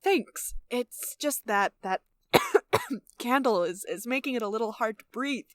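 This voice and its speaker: An actress playing a young college senior at a job interview in a radio play, emphatic and colloquial. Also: female voice, a little high, very animated, a little slow, dry recording. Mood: nervous, flustered. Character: female college senior job applicant, turning brash bro.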